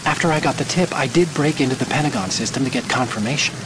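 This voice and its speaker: A man's voice: mid-range voice, fast, noisy background, lightly acted. Man playing an earnest, anxious young hacker. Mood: troubled, confiding. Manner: earnest, slightly hesitant. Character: earnest, anxious male computer hacker, loyal partner. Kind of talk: video game cutscene acting. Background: loud music and rain.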